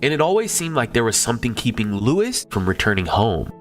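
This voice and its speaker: Male TV narrator with an animated, varied delivery, reading a dramatic script over faint music. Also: mid-range voice, fairly quick.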